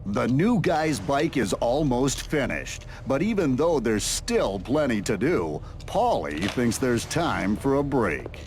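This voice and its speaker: A man's voice: medium pitch, sing-song, takes it slowly, hardly any pauses, darkish tone, a little hiss or hum. A man delivering scripted TV-style narration for a video game.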